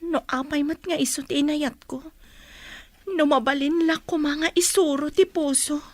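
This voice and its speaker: A woman's voice: high voice, fairly even intonation, normal speed, no echo, natural voice. A woman playing a lovesick, pleading young student in an audio drama. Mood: wistful.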